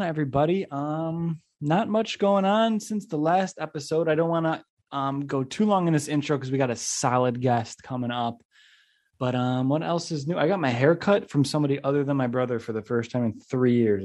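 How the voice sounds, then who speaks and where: A man's voice: on the high side, moderate pace, darkish tone. A man in his mid-twenties hosting a podcast, talking casually and rambling with lots of "like". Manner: rambling, leans heavily on "like". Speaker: podcast host.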